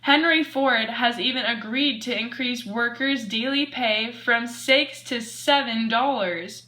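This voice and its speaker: Girl playing earnest 1920s radio newswoman, scripted, bright highish voice. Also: slow pace, rough recording. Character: earnest female 1920s radio news anchor. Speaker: news anchor.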